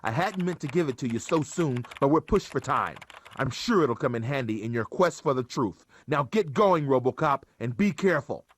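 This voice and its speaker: A man's voice: medium pitch, animated, moderate pace, natural voice. A man playing an earnest ally in a video game, explaining a mission in a supportive manner. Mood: earnest concern. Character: earnest male ally briefing a cyborg cop. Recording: keyboard clicks, faint background noise.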